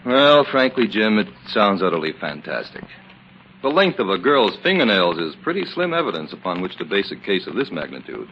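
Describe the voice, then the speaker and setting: An actor playing a sceptical, courteous estate lawyer in the manner of 1940s radio. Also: male voice, on the low side, sing-song, fairly quick, a bit bright. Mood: sceptical, grave. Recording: dull, hissy old radio recording.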